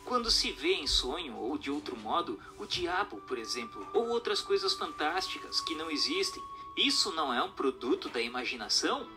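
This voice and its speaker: A man's voice: on the high side, sing-song, moderate pace, hardly any pauses, music in the background. A man with a Brazilian accent reading a formal, didactic text aloud as scripted narration.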